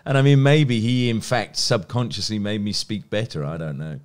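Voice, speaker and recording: A man's voice: fairly low pitch, moderate pace. A man in his fifties from England's West Country with an educated English accent, telling stories conversationally as an interview guest. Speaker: guest, sports journalist and broadcaster. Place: small plain indoor room. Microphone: close microphone on a stand.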